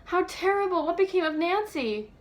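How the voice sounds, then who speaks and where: A woman's voice: extremely high pitch, expressive, moderate pace, voice pushed up. A woman voicing an anxious, easily flustered young woman in a storybook, fretful and exclamatory. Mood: astonished.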